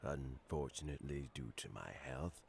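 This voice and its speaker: An actor playing a genial, dignified aging government elder in a video game, speaking in a measured, courteous and formal way. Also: male voice, extremely low pitch, expressive, gravelly, slight background noise, exaggerated voice. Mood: formal, explanatory. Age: older.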